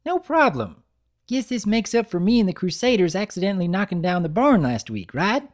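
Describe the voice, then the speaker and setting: A young cartoon filly acted out by a narrator, pitched well up and very animated. She chatters in a folksy, eager way, like a keen little sister in a storybook. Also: a little fast, natural voice. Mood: bright, cheerful. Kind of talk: dramatic reading of fan fiction.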